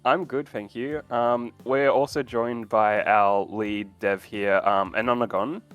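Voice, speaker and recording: A man's voice: mid-range voice, fairly even intonation, measured pace, fairly bright tone, clean tone, soft background music. A man hosting an interview in a casual, polite and slightly hesitant way. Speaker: interviewer, host.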